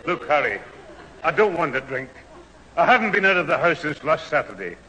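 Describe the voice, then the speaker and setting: A troubled old Scottish grandad, firm but kindly, with a fairly high, very expressive male voice. It is old radio sitcom acting, recorded in a reverberant hall. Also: fairly quick. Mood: guilt-ridden.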